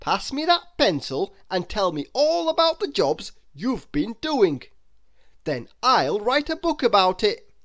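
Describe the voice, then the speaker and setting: A confident man who writes children's books, as a narrator acts him out. He is encouraging and deliberate, with an emphatic, very lively storybook delivery. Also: mid-range voice, voice pushed up.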